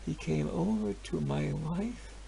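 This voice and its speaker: A man playing a gravelly, grieving peasant. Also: on the high side, a little hiss or hum, full character voice, rough recording. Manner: halting, swinging from hushed to loud. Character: grieving poor male peasant farmer, stubborn. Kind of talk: dramatic storytelling monologue.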